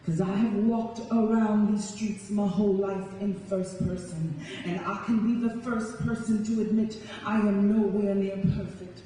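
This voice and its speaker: A woman's voice: medium pitch, warm tone. A young woman, a spoken word poet performing live for an audience. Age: young adult. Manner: dramatic, rhythmic, emphatic delivery when reciting. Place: large indoor hall before an audience. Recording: echoey hall from far off, noisy.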